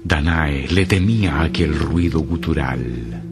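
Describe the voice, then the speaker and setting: A man's voice: very deep voice, slow. A man narrating a radio horror mystery tale as a grave storyteller. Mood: grave, suspenseful. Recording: music behind the voice.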